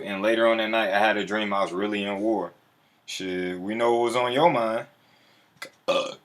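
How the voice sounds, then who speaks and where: A man's voice: fairly low pitch, fairly bright tone. A man hosting a casual livestream, talking in a laid-back, slangy, profane way and chuckling often. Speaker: livestream host.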